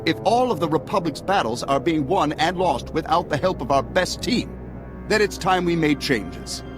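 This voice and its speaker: An actor playing a scheming, self-righteous politician, speaking in a pompous, oratorical way in a video game. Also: male voice, on the high side, expressive, normal speed, close to an everyday voice. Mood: accusing, oratorical. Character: scheming, self-righteous senator, secretly a traitor, male. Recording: music behind the voice.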